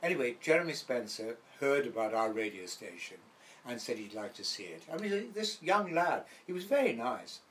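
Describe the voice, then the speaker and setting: A man's voice: medium pitch, sing-song, normal speed, a bit bright, slight background noise. An older man from Wales with a light Welsh accent, reminiscing as a guest in an interview and acting out the voices in his stories. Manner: rambling anecdotal storyteller, acts out quoted voices. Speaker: actor guest.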